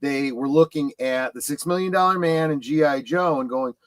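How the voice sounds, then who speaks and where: A man's voice: a little high, moderate pace, no room sound. A man chatting casually on a panel, explaining things in a discursive way. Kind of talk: casual panel chat about collecting.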